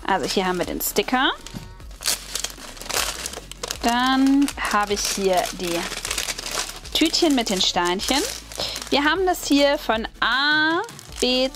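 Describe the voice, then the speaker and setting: A woman's voice: a little high, noisy background. A woman with a German accent chatting casually while unpacking and crafting at a table, thinking aloud with hums and small laughs. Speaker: crafting video host. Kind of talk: casual unboxing and crafting commentary. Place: indoors at a wooden table. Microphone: overhead camera, hands only.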